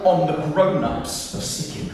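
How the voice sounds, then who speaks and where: Animated high-voiced male storyteller in echoey hall. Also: a little slow, crowd noise. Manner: animated, dramatic, asks the audience questions. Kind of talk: live interactive storytelling for children and adults. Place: reverberant room with a live audience. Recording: echoey hall, distant mic, noisy.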